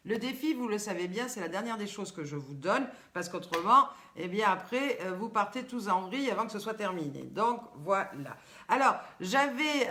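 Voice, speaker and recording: Older Southern French woman hosting. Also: mid-range voice. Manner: lively, teasing, chatty, reads viewers' comments aloud. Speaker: host. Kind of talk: live-stream chat with viewers.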